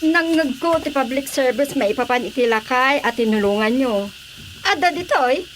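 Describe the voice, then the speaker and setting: A woman's voice: high voice, lively intonation, normal speed, a little hiss or hum, rough recording. A woman playing an earnest, distressed Filipino wife in a radio drama. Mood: pleading, earnest. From Philippines. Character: earnest, distressed wife searching for her husband.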